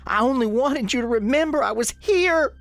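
A woman's voice: high-pitched, expressive, normal speed, dry recording, soft background music. A woman playing a frightened ghost girl, an imaginary friend, in a radio drama, pleading with breathless urgency. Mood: guilty, anxious.

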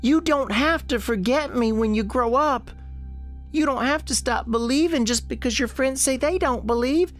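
Woman acting a frightened, pleading ghost girl, high-voiced. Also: moderate pace, soft background music, natural voice. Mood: tearful pleading. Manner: pleading, breathless urgency. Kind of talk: radio drama acting.

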